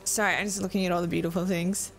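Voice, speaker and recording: An Australian woman chatting excitedly and swearing casually as she streams a game. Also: lowish voice, quick pace, creaky, slight background noise. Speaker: gaming streamer. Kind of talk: casual let's-play chat.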